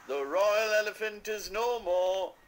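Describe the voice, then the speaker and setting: A man's voice: high voice, slow, voice pushed up. A man voicing the king's heralds, bellowing a proclamation through the streets in a storybook reading. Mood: proclaiming. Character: king's heralds, loud male town criers.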